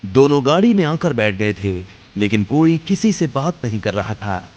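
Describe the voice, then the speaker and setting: A man's voice: medium pitch, sing-song, normal speed, a little mellow. A man narrating an audio drama in a scripted, dramatic storytelling style.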